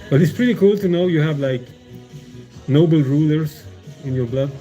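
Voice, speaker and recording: Casual male streamer with a very dark, mellow tone, rambling loosely, faint music underneath. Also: fairly high pitch, normal speed, low-quality recording. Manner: meandering, hesitant, many fillers and restarts, chuckles often. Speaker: livestreamer.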